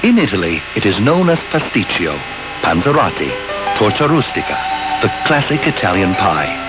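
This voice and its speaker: Male commercial announcer, lowish voice, animated scripted read over loud music. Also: moderate pace. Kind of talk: scripted restaurant radio ad narration. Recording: dull old radio ad, loud music.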